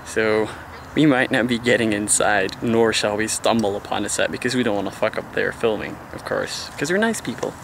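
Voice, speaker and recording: A man's voice: fairly low pitch, normal speed, vocal fry. A young man vlogging casually with a camera held at arm's length, joking and teasing himself as he talks. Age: young adult. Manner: joking, playful, self-mocking. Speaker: vlogger, team member. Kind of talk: casual handheld vlog chat. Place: outdoors by an old building, later indoors. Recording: noisy outdoor handheld audio.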